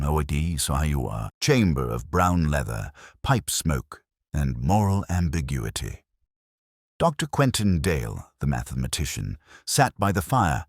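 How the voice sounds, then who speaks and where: A man playing a brooding, cerebral police detective, narrating a mystery in a measured, solemn, literary manner. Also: a bass voice, no room sound, studio quality, full character voice. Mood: wry, watchful. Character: brooding, cerebral older male English police detective recounting a case. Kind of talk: scripted first-person mystery narration.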